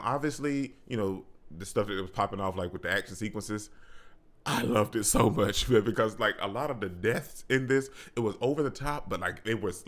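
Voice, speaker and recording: Low-voiced man giving a casual review. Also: a bit bright. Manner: colloquial, slangy, enthusiastic. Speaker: movie reviewer and channel host. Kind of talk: casual video review chat.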